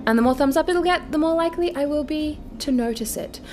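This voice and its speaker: A woman with a fairly high voice hosts a story series. She moves between reciting literature aloud and talking casually to her audience. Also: fast talker, a little mellow, a little hiss or hum. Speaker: host reading bedtime stories aloud. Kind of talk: dramatic poetry recital and casual chat to viewers.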